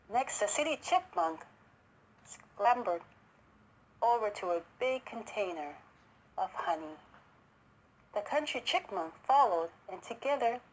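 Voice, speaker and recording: A woman narrates a children's story in a high, highly varied voice. Her read-aloud is slow and expressive, recorded with some background noise. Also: low-quality recording.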